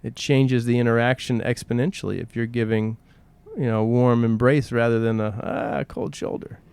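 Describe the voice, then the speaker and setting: A man's voice: mid-range voice, level tone, normal speed, vocal fry, darkish tone, clean tone. A man co-hosting a podcast, speaking in an earnest, reflective conversational style and often voicing questions and quotes. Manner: earnest, poses rhetorical questions, acts out quotes. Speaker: podcast co-host.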